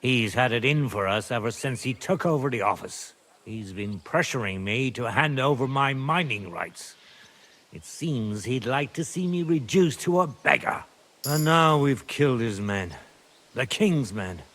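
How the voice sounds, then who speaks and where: A man's voice: mid-range voice, expressive, measured pace, fairly bright tone. A shrewd, worried middle-aged father. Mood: grave. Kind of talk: video game voice acting.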